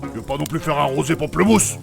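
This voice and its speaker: A man acts out a grumpy fantasy adventurer from a comic audio play. The voice is thick with gravel and sits a touch high. He is crude, blunt and scornful, sparing nobody his mockery. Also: quick pace, over music. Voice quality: gravelly, creaky. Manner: blunt, vulgar, mocking. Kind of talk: comedic fantasy audio drama.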